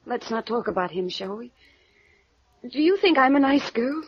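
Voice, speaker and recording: A lonely, bitter young woman in an old radio melodrama. Her voice is fairly high, brittle and guarded with feeling, on a dull vintage recording. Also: animated, normal speed, slightly rough. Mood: guarded, subdued. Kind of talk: 1950s radio drama acting.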